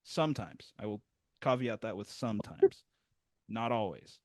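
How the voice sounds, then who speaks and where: A man's voice: on the low side, animated, moderate pace, dry recording. A man hosting a music review interview, talking in a rambling, self-deprecating way with many restarts. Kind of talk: casual interview chat. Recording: dry, close sound.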